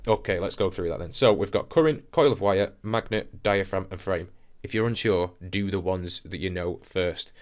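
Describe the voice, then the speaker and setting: A man's voice: deep voice, normal speed, few pauses. A man teaching a science lesson in an informal, explanatory way, talking conversationally and thinking aloud as he demonstrates.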